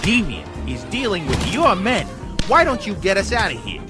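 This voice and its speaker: A smug villain gloats theatrically in a highly animated voice. It is audio drama acting, with a clear music bed underneath. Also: male voice, normal speed. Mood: gloating. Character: gloating villain seizing the upper hand.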